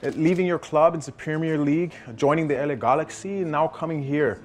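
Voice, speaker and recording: A male actor as a sceptical sports reporter in a game cutscene. His voice is fairly high, his question formal, halting and pointed. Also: fairly even intonation, natural voice. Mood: probing. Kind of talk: video game cutscene acting, press conference question.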